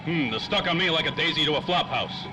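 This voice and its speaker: A man's voice: on the high side, lively intonation, quick pace, lightly acted. An actor playing a world-weary, wisecracking private eye in a 1930s radio play, full of slangy tough-guy talk. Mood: wry, tense. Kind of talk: old-time radio drama acting. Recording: faintly noisy old-time radio recording.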